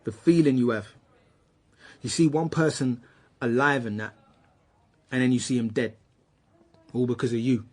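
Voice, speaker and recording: Man acting a husky, cocky young suspect. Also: mid-range voice, fairly even intonation, moderate pace, long pauses, a little mellow, lightly acted. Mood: cold, boastful. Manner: swaggering, slangy, taunting. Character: cocky, menacing young male murder suspect. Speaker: actor in a police radio drama. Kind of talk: naturalistic radio drama acting.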